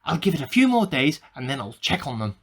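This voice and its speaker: A man acts out a paranoid amateur scientist in a radio play. His voice is rough-edged and swings widely in pitch. He delivers his diary with agitated melodrama. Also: very animated, fast talker, a bit bright, full character voice. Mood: grimly scheming. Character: paranoid male amateur scientist at war with hamsters.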